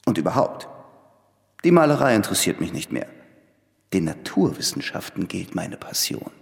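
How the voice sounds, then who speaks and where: An actor playing an imperious, sardonic master painter in a radio drama, with a pompous, scornful delivery. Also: male voice, deep voice, very animated, normal speed, gravelly, heavily acted. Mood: lofty, musing. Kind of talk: German radio drama acting. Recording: long hall reverb. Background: faint music.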